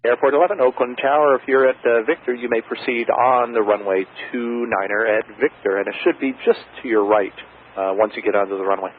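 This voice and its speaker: A man's voice: medium pitch, a little fast. A man working as an airport tower controller, giving procedural instructions with casual asides over aviation radio. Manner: procedural phrasing mixed with casual, hesitant asides. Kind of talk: air traffic radio instructions. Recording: narrow, tinny aviation radio with faint static.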